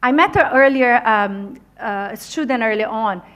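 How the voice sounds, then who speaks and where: A woman's voice: on the high side, normal speed. A woman who is not a native English speaker, giving an encouraging motivational talk to a conference audience. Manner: energetic, encouraging, addresses audience directly. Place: conference venue.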